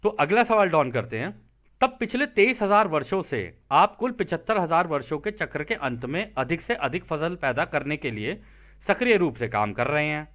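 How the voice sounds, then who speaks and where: High-voiced, animated man explaining a text. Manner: conversational, repeats and rephrases points. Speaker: presenter reading and explaining a translated spiritual text. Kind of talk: reading aloud with casual explanatory commentary.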